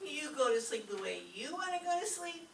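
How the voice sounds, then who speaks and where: A woman's voice: high voice, big pitch swings, fairly quick. A woman playing a naive, scatterbrained, easily frightened young roommate in a radio comedy, earnest and literal-minded. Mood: earnest, dim. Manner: earnest, literal-minded delivery of silly logic. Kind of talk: live old-time radio comedy acting. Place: echoey room, mic at a distance. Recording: roomy, distant live stage recording, faint noise.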